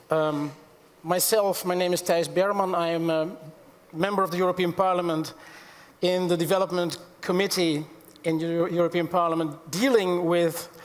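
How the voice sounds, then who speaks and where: A middle-aged man chairs a panel in a large auditorium, his fairly high voice carried by a long hall reverb. He speaks formally, corrects himself mid-phrase and drops in dry little asides. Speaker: panel moderator. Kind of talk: conference panel moderation.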